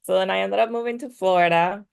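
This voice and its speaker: A woman's voice: medium pitch, fast talker, vocal fry, clear, resonant tone, no echo, studio quality. A woman, telling personal stories casually as a podcast guest, sometimes switching between English and Spanish. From Dominican Republic. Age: 30s. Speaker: podcast guest, clothing brand owner.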